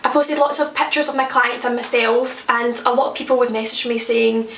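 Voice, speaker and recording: A woman's voice: a little high, steady tone, fairly quick, fairly bright tone, roomy sound, far from the mic, a little hiss or hum. A Scottish woman in her twenties chatting casually to camera as a makeup vlogger at home, joking about her own slips. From Scotland. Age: 20s. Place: at home, indoors.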